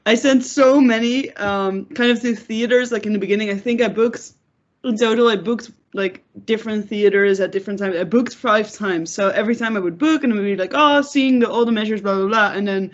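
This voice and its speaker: A woman's voice: medium pitch, brisk. A woman chatting casually as an interview guest, in an informal, loosely strung-together way. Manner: informal, frequent "like". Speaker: panel guest, pantomime board member. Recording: muffled lo-fi audio.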